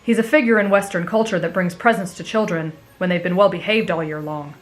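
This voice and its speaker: A woman's voice: on the low side, a little fast, natural voice. A woman voicing an emotional, cynical teenage boy demon slayer, grumbling and easily exasperated, in an anime fan audio roleplay. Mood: exasperated explaining.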